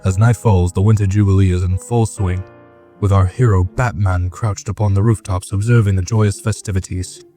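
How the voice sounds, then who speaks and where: A man's voice: low-pitched, fairly even intonation, normal speed, dark tone, far from the mic, faint music behind. A man giving dramatic scripted narration as the narrator of an audio drama.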